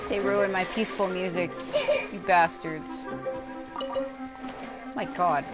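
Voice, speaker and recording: A woman doing casual, rambling livestream commentary while playing a video game, informal and quick to exclaim at what happens. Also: lowish voice, steady tone. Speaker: game streamer. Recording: game music behind the voice. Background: video game music.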